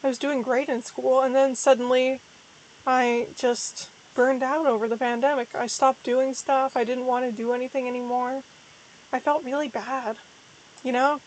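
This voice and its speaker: A young woman talks in a high voice gone hoarse and tired. She rambles through a casual livestream chat, restarting her sentences and running herself down a little. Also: little pitch movement, moderate pace, low-quality recording. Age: young adult. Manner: rambling, self-deprecating, frequent restarts. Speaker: VTuber streamer.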